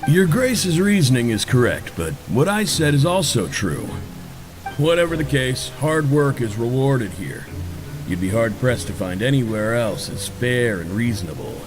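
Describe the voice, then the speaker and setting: A man's voice: mid-range voice, big pitch swings, normal speed, music in the background, lightly acted, rough recording. An actor playing a plainspoken veteran prison worker in a video game, frank and folksy in the way he talks. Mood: respectful, firm.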